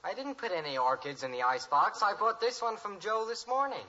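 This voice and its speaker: An actor playing an eager, pestering American teenage son in a 1950s radio sitcom, boyish and persistent. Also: male voice, high-pitched, lively intonation, brisk, sharp tone. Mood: puzzled. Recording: dull old radio recording.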